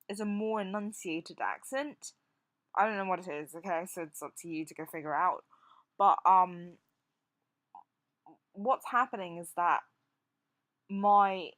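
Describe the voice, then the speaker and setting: Halting Singaporean woman vlogging. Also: fairly low pitch, a bit bright. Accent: Singaporean English, softened by years in the UK. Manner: halting, with frequent restarts and stammers. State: recovering from jaw surgery. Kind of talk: impromptu personal vlog.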